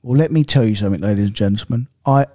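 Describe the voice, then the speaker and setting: A middle-aged man co-hosting a casual podcast. He talks in a blunt, emphatic way and sometimes turns to the listeners as "ladies and gentlemen." He speaks into a handheld mic in a hotel room. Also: lowish voice, racing. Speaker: podcast co-host. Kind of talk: casual chat. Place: hotel room by a big window. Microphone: handheld mic with headphones.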